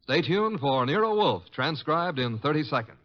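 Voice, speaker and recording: A man's voice: on the high side, very animated, normal speed. A man announcing in a grand, showy 1950s radio style. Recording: muffled old radio recording, music.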